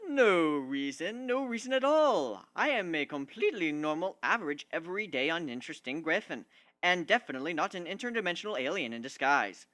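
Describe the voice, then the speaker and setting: A man's voice: a little high, very animated, brisk, sharp tone, no echo. A man voicing a cocky, scheming male griffin in a dramatic story reading, talking in a boastful, glib way. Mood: evasive, nervous. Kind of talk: dramatic reading of fan fiction.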